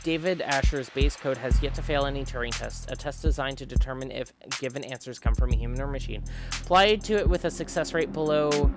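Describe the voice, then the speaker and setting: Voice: mid-range voice, a little fast, music in the background. A game streamer giving casual, emphatic commentary and reading game text aloud on a livestream. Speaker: streamer hosting a visual novel playthrough.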